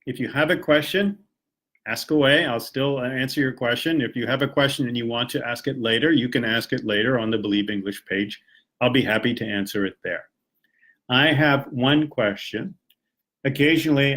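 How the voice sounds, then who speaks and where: Friendly young American male English teacher, General American accent, casual live-stream delivery, middle pitch. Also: fairly bright tone. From Washington, D.C., United States. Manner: friendly, chatty explaining with rhetorical questions.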